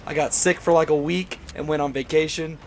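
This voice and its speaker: A man hosting a gaming channel, talking through a casual game review with a hoarse, worn-out voice after being sick. He sounds enthusiastic, rambles a bit and often corrects himself. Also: on the high side, steady tone, fairly quick, slight background noise. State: hoarse, voice mostly gone after illness.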